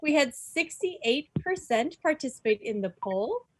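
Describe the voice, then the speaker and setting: A woman with a high, very lively voice hosts a club quiz. She takes her time over each announcement and sounds playfully official. The sound is close and dry. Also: unhurried, a little hiss or hum. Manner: playful, mock-formal announcements. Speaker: quiz host at a club meeting. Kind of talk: informal quiz announcing to a group.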